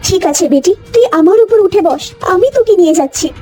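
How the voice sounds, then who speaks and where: A woman's voice: very high-pitched, animated, fast. A woman playing a motherly old cow in a cartoon, tender and self-sacrificing, with a pleading, endearing way of talking. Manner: pleading, endearing address to her child. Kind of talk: cartoon voice acting. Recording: music behind the voice.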